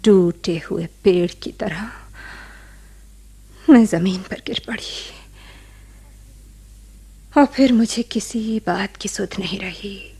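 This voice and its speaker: A bereaved woman in a radio drama, telling her tragic past. Her voice is soft-edged, mellow and breathy, and she moves slowly through her sorrow. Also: medium pitch, full character voice. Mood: sorrowful. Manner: slow, sorrowful, breathy delivery. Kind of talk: dramatic radio play narration.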